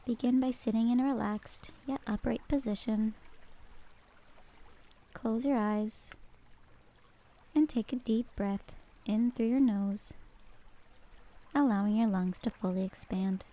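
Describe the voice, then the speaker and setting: Mellow woman guiding a meditation. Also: fairly high pitch. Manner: calm, gentle, measured. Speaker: meditation guide. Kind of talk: scripted guided meditation narration.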